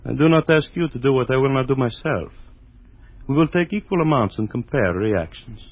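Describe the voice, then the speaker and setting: Theatrical, scheming mad scientist. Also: male voice, medium pitch, animated. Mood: coaxing, sly. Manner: theatrical, formal, deliberate phrasing. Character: scheming, grandiose male scientist hungry for world domination. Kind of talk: old-time radio horror acting. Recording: dull vintage radio recording.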